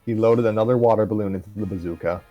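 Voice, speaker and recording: Man reading a story, low voice. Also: racing, no echo, muffled recording, soft background music. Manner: casual reading with stumbles and asides. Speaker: story reader on a livestream. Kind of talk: reading a fan story aloud.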